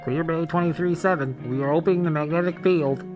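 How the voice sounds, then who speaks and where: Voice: medium pitch, fast talker, over music, natural voice. A reader playing a clipped, formal intercom officer at an amateur space film table read. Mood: official.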